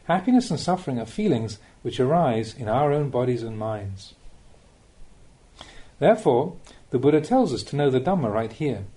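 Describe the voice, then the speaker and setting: Male narrator for spiritual audiobook: soft mellow tone, animated pitch movement, measured teaching delivery. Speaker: narrator reading Buddhist teachings. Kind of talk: audiobook reading of a spiritual talk.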